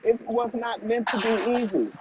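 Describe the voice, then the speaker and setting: A man's voice: very high-pitched, steady tone, normal speed. Guy doing motivational livestream talk, emphatic, repeats lines, kinda muffled audio w some noise. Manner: emphatic, repetitive, rhetorical questions. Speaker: vlog co-host and fitness motivator. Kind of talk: motivational talk on a live stream.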